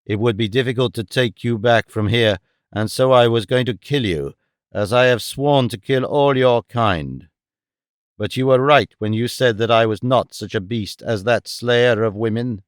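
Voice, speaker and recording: A man voicing a grim, vengeful jungle-raised hero who speaks low, slow and menacing. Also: smooth, no room sound, studio quality, lightly acted. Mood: grave.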